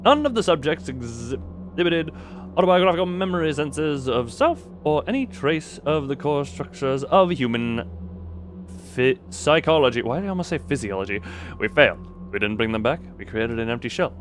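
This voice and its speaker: A man's voice: fairly high pitch, animated, normal speed, slight background noise. A man chatting casually on a gaming livestream and reading game notes aloud, rambling, easily sidetracked and stumbling over words. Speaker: live streamer.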